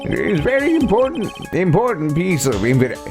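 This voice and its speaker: A stern, fussy male courtroom judge played by a man speaks formally with lively rises and falls, tripping over his words. Video game music is clearly audible behind him. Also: high voice, normal speed, pitched above the performer's own voice, heavily acted. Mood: grave, deliberate. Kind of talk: voiced video game playthrough.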